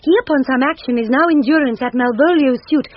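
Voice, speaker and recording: An actress with a British accent playing an earnest young gentlewoman disguised as a boy in a radio Shakespeare play. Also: high-pitched, quick pace. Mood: devoted. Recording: muffled old radio broadcast recording.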